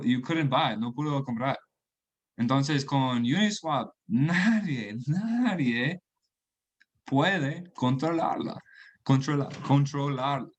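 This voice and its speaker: Slow, halting man on a call. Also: medium pitch. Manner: halting, restarts and searches for Spanish words; laughs between phrases. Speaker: guest. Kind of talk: casual chat mixing Spanish and English.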